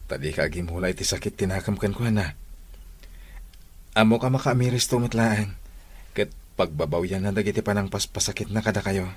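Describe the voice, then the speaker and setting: A devoted young suitor in an Ilocano radio drama. His voice is on the low side and breathy, his manner earnest and generous, with an Ilocano accent. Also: fairly quick, darkish tone. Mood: tender, earnest.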